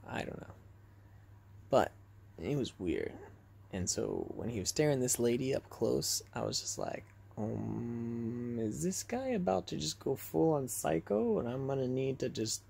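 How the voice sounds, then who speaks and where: A young man telling casual stories to camera in an animated, acted-out way, recorded on a phone in a bedroom. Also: medium pitch, gravelly, husky. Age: young adult. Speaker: vlogger telling personal stories. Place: small bedroom, lying in bed. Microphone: phone at arm's length.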